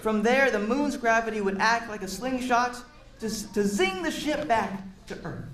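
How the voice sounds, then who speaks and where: A man's voice: very high-pitched, expressive, moderate pace, a bit bright. Man reading aloud to children in echoey room. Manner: encouraging, chatty asides explaining words to children. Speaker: read-aloud storyteller for children. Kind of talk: animated picture-book reading with questions to a young audience. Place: roomy indoor space with a group of children.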